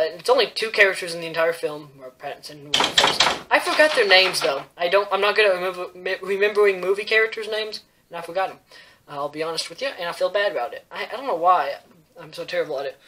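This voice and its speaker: A young man giving a casual movie review to camera in a bedroom, halting, rambling and self-deprecating. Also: high voice, sharp tone. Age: young adult. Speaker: host of a movie review show. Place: small bedroom, indoors.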